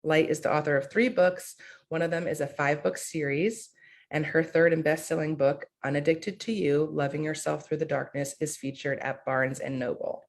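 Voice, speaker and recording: A host reads out a guest's introduction in a formal, list-like way. The voice has a gravelly, creaky grain to it. The pitch sits in a middle range. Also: fairly even intonation, normal speed. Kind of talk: read introduction.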